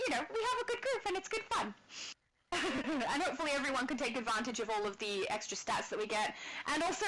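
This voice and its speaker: A woman's voice: fairly high pitch, noisy background. A woman from Australia with a light, slightly British-tinged Australian accent, casually chatting and laughing while hosting a gaming livestream and reading chat aloud. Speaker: livestream host.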